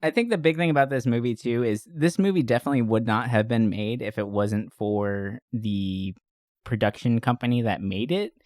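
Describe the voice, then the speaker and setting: A man's voice: mid-range voice, normal speed, darkish tone, no echo. Man casually rambling, very dry recording. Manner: rambling, heavily profane. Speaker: podcast host. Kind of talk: casual movie discussion.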